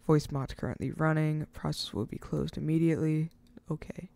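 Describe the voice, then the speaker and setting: A casual, rambling speaker hosting an informal step-by-step software tutorial, recorded close to the mic as a voice-over. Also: medium pitch, little pitch movement, moderate pace, gravelly, dry recording. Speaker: tutorial host.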